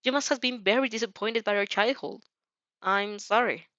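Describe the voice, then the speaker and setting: A woman playing a gentle, self-doubting heroine in story dialogue, hesitant and soft-hearted. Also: mid-range voice, normal speed, no echo, dull audio, lightly acted. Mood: apologetic.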